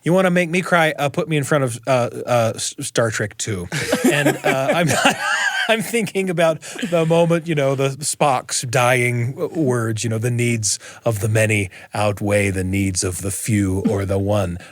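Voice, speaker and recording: A man's voice: mid-range voice, unhurried, dry recording. Man hosting radio, close dry sound. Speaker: radio show host and storyteller. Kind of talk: chatty radio discussion and personal storytelling. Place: radio studio.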